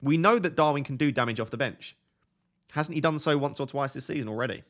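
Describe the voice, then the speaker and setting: A young man hosting a fantasy football live stream, reading viewer questions aloud and answering them in a relaxed, soothing voice. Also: mid-range voice, fast. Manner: self-described relaxing, soothing voice. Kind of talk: casual live stream chat.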